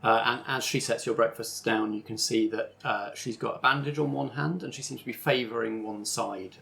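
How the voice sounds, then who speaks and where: A man's voice: medium pitch, moderate pace, creaky, fairly bright tone. A man narrating a tabletop roleplaying game as its game master.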